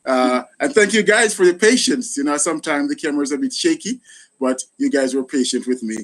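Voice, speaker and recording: A man's voice: on the high side, fairly quick, a bit bright. A middle-aged man with a Jamaican accent hosting a casual live chat, thanking people often. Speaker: gardening channel host, retired soldier.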